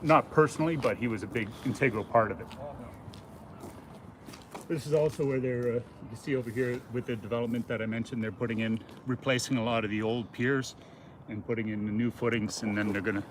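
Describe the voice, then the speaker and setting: Male walking-tour host, halting off-the-cuff explanations, mid-range pitch, recorded outdoors with clear traffic noise. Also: steady tone. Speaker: livestream host and informal walking guide. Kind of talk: casual unscripted commentary while walking. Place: outdoors on a city waterfront. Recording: noisy outdoor city audio with traffic.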